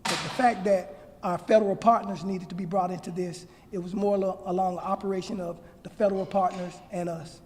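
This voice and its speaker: A man speaking as a law enforcement official, answering press questions in a measured, formal and halting way. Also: high-pitched, fairly even intonation. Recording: hall with long reverb.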